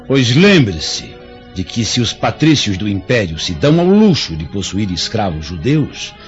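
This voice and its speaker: A cruel, vengeful master, swooping delivery. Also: male voice, lowish voice. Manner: theatrical, taunting, commanding delivery. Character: vengeful, cruel Judean master gloating over his Roman captive. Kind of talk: radio drama acting. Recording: dull lo-fi audio with music underneath.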